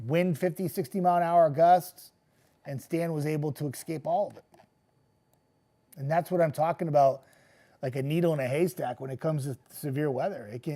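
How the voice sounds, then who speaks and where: Man on the verge of losing his voice. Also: a little high. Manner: chatty, easygoing, trailing off into quiet asides. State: losing his voice, getting sick. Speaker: TV meteorologist hosting a viewer chat. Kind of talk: casual live-stream chat reading viewer comments.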